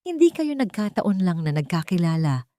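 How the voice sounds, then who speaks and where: A woman's voice: fairly low pitch, big pitch swings, dark tone, no echo, studio quality. A woman with a Filipino accent voicing a grave, determined man in a dramatic audio story. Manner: grave, deliberate. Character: serious, determined man, journalist's son seeking justice.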